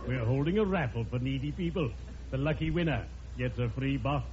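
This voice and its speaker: An actor playing a morbid, mock-dignified undertaker in a classic radio comedy, speaking in a formal, droll, deliberate way. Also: male voice, medium pitch, a little mellow, a faint crowd, natural voice. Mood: droll, solemn. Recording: dull old radio recording, audience, noise.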